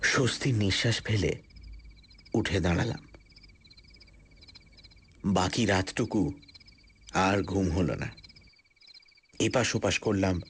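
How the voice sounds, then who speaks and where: A man narrating a suspense story dramatically, in a scripted first-person style with deliberate pauses. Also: low-pitched, slightly rough. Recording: loud music under the voice. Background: loud background music.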